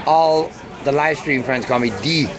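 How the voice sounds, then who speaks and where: A man's voice: on the high side, steady tone, a little slow, rough recording. A man in casual chat, talking about his livestreaming, recorded on a phone in a busy cafe bar. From Canada. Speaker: livestreamer filming the event. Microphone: phone camera. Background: loud crowd chatter.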